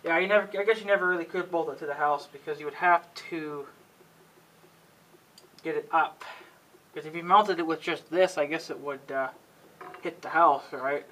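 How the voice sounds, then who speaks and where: A man's voice: fairly high pitch, normal speed, long pauses, sharp tone, rough recording. A man giving casual, offhand DIY vlog commentary, thinking aloud in a folksy way as he works. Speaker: amateur DIY video maker.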